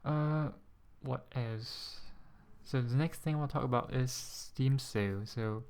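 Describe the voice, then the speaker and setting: A young man from Singapore with a Singaporean-tinged English accent, talking to camera in a casual vlog, hesitant and a bit nervous, pronouncing words with deliberate care. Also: mid-range voice, darkish tone, clean tone. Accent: Singaporean-influenced English, carefully pronounced. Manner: hesitant, many ums, a little nervous; careful, deliberate pronunciation. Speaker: ASMR channel host. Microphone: USB desk microphone.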